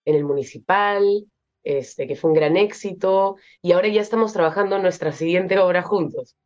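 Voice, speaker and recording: A young Peruvian woman with a Peruvian Spanish accent, an actress being interviewed, speaking thoughtfully with many pauses and fillers. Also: fairly low pitch, a little mellow, highs cut off. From Peru. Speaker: actress guest. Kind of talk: interview conversation.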